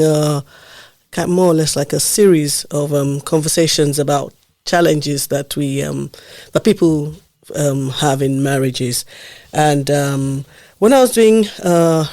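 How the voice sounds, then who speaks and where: A man hosting a podcast, a bit nasal from a blocked nose, talking in a measured way with many ums and pauses. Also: fairly high pitch, darkish tone, no room sound. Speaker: podcast host. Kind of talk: casual podcast conversation.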